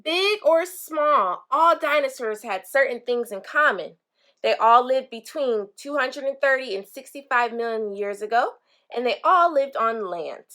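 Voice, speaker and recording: A woman reading a children's picture book aloud, pausing to explain things simply to young listeners. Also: sits high, lively intonation, pushed and bright. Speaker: read-aloud storyteller for children.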